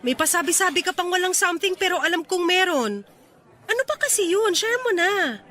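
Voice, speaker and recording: An actress with a Filipino accent playing a young single woman in a radio drama, teasing but guarded and expressive. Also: on the high side, normal speed. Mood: playful teasing.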